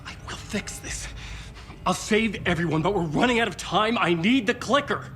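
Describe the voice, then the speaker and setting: A man's voice: high-pitched, normal speed, fairly bright tone, soft background music. A man playing a desperate, panicked writer, pleading and warning in a dramatic video game scene. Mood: desperate, urgent.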